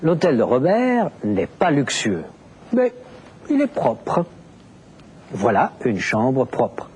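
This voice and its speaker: A man narrating a language lesson, explaining deliberately with very clear enunciation. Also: mid-range voice, wildly swooping pitch, a little mellow, slight background noise. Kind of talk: scripted teaching narration.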